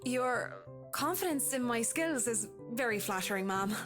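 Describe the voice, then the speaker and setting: An elegant, composed woman in a visual novel. She speaks formally in a fairly high voice with a non-American accent, over light music. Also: expressive. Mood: composed, polite. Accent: non-American, noticeable. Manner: polite, measured, formal. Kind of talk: visual novel game voice acting.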